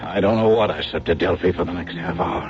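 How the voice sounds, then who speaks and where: A man's voice: low-pitched, quick pace, close to an everyday voice. A man playing a glib, guilt-ridden talent agent in a radio drama, with slangy salesman patter and confessional first-person narration. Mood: tense, regretful. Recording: dull radio recording with dramatic music.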